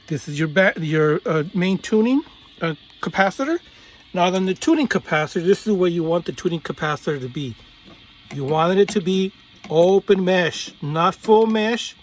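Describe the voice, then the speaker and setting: A man with a somewhat high-pitched voice presents a hobbyist tutorial. He speaks informally, as in a hands-on demonstration. His phrasing is instructional and halting, moving step by step. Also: lively intonation.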